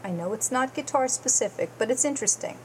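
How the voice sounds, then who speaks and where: A woman's voice: sits high, expressive, fast, darkish tone, slight background noise, rough recording. Woman hosting a guitar show. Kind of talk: reading a prepared segment.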